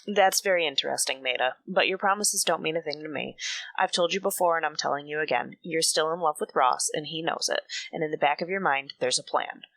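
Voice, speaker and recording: A woman's voice: low voice, a little fast, gravelly, a bit bright, no echo, rough recording. A woman playing a bitter, defensive mature woman in a radio soap, speaking in a guarded, clipped way. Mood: cold, accusing. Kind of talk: radio soap opera acting.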